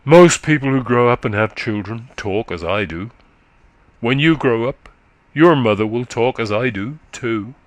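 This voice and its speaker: A man voicing a weary, faint middle-aged countrywoman who is exhausted and short of breath, speaking slowly and low. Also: expressive, no echo, natural voice. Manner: slow, low, sorrowful.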